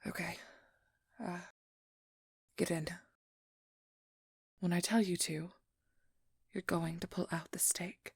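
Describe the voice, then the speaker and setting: A woman playing a determined, exasperated vampire hunter with a guarded caring side, speaking softly and often in whispers in an intimate ASMR audio roleplay. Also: on the low side, fairly even intonation, slow pace, pauses often, no echo, clean studio sound, exaggerated voice. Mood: matter-of-fact, caring. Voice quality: soft, breathy, often whispered.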